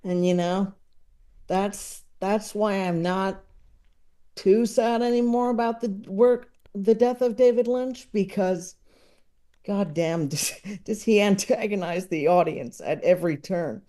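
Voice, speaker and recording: A woman chatting casually as a podcast guest, enthusiastic and digressive, often halting as she works out her thought. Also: medium pitch, mellow. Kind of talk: casual chat about books and comedy.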